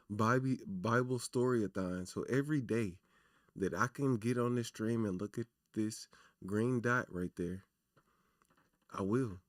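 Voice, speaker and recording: A man hosting a casual livestream, talking loosely and chattily to his viewers with a naturally raspy voice. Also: fairly low pitch, a little slow, long pauses, no room sound, studio quality. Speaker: livestream host.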